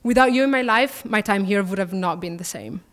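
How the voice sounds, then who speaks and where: A young woman in her twenties with an Italian accent, a student athlete giving a prepared speech at a podium, warm and gently self-deprecating. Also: medium pitch, a little fast. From Italy. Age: 20s. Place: large banquet hall, at a podium. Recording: reverberant banquet hall.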